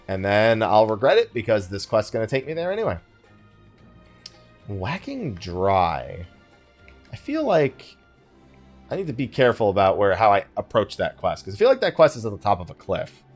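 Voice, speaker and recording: A man's voice: fairly low pitch, huge pitch swings, moderate pace, faint music behind. A man giving casual let's play commentary, thinking aloud in a wry, conversational way. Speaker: gaming YouTuber, host of the let's play.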